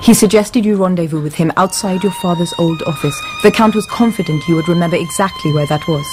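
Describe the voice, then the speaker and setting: Devoted female servant, played by an actress: composed, polite, lowish voice, faint music underneath. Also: a little fast, lightly acted. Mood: politely firm. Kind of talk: radio drama acting. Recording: music behind.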